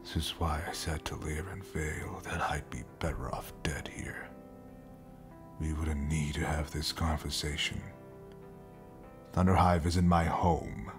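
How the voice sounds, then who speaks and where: An ancient, coldly resolute space captain speaks in an extremely low, breathy voice. He is brooding and homesick, and every line comes slowly and solemnly, as audio drama over clear background music. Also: steady tone. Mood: bitter. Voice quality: gravelly, breathy near-whisper. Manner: slow, solemn, deliberate storytelling. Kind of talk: scripted audio roleplay drama.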